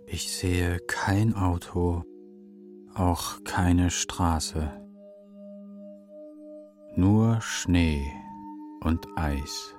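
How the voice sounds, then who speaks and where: A slow, deep, solemn eagle spirit. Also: male voice, fairly even intonation, music in the background. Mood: solemn, detached. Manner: slow, measured, sparse statements. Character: male eagle spirit, solemn, trance-like seer. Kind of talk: German children's radio drama acting.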